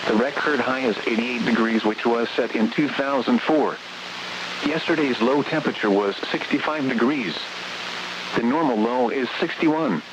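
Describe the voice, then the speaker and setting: A synthetic man's voice reading automated weather bulletins as a radio announcer, in a formal, measured way. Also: medium pitch. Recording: noisy, hissy weather radio broadcast.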